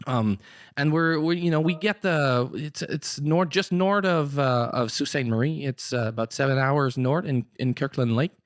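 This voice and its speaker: A man playing a fumbling French-Canadian pastor on a prank call, halting and apologetic, full of fillers and restarts. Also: medium pitch, dry recording, studio quality, close to an everyday voice. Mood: hesitant. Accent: Québécois French.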